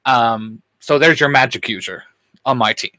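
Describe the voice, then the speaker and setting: A man co-hosting a geek show in casual fan chat, enthusiastic and halting, often repeating his words. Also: medium pitch, pushed and bright, no room sound. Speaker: co-host of a geek culture show.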